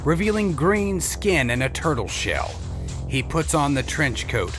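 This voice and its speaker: A man's voice: a little high, very animated, a little slow. A man narrating a scripted audio drama, describing the action in a dramatic storytelling style. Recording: music playing behind the voice.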